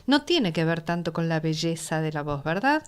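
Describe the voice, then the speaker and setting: A woman's voice: sits low, sing-song, moderate pace, smooth. An Argentine woman talking conversationally as a panelist in a discussion. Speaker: radio drama discussion panelist.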